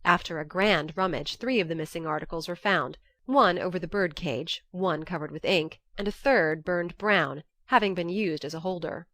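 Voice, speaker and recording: Lower-pitched woman narrating a novel, dry sound. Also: moderate pace, hardly any pauses, a bit bright, dry recording. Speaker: audiobook narrator. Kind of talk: scripted first-person literary narration.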